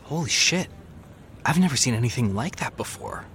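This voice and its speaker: An actor playing a young, eager, inquisitive police detective in an audio drama. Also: male voice, mid-range voice, expressive, moderate pace, a bit bright, slight background noise, close to an everyday voice. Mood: attentive. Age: young adult. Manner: eager, questioning.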